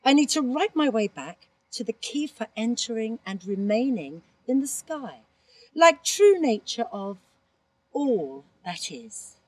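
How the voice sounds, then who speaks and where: Theatrical woman, swooping expressive voice. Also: fairly high pitch, unhurried, husky, no echo, low-quality recording. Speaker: author reading a book aloud in character. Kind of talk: theatrical comic narration.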